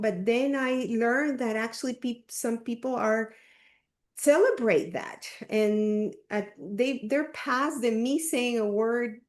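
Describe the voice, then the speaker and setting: A woman with a Spanish accent leads a casual video call, her voice a touch high and her delivery slow and unhurried. She is warmly encouraging, echoes phrases, and restarts her sentences often. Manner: encouraging, repeats herself, many fillers. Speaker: discussion group host.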